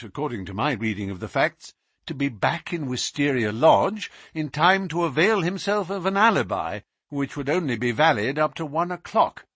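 A man voicing a cool, analytical male detective who explains his reasoning in a measured, lecturing way. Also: fairly high pitch, a bit bright, slightly rough, no room sound, clean studio sound, full character voice.

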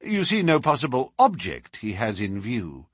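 A man's voice: mid-range voice, huge pitch swings, normal speed, a bit bright, slightly rough, no echo, studio quality, full character voice. A man voicing a cool adult male detective who asks precise questions. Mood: probing. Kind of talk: audiobook character reading.

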